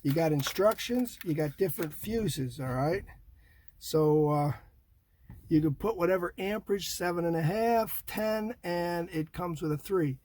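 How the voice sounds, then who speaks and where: Higher-voiced older man, casual DIY demo. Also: measured pace, a little mellow, slight background noise. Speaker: DIY vlog host. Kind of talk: casual hands-on install demonstration. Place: inside a parked Jeep.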